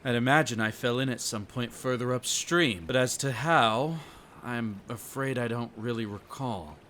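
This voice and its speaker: A man playing an injured young stranger in an audio roleplay, polite and soft-spoken, with hesitant, rather formal speech. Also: medium pitch, moderate pace, a little hiss or hum, natural voice. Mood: dry, subdued.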